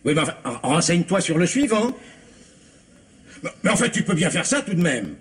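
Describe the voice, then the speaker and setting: Husky, agitated middle-aged husband. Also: male voice, on the high side, big pitch swings, brisk, heavily acted. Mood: stern, irritated. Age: 50s. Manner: agitated, stammering, exclamatory. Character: anxious, irritable middle-aged husband and father, Robert. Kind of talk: old French radio drama acting.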